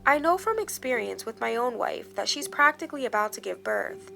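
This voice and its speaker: A woman reads a scripted dramatic story over clear background music. Her voice sits fairly high and has a marked creak. She tells it in a measured, expressive way. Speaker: horror story narrator. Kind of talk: scripted dramatic first-person narration.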